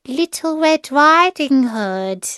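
A deceitful storybook wolf, acted by a woman faking a sweet, innocent voice. It is pitched high and drawn out very slowly, coaxing with exaggerated sweetness. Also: lively intonation, fairly bright tone, dry recording, studio quality, full character voice. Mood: falsely sweet.

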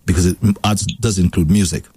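A man hosting a call-in radio show, chatting patiently and gently pressing his point with the caller. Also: low voice, fairly even intonation, a little fast, slightly rough, no room sound. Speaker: radio host.

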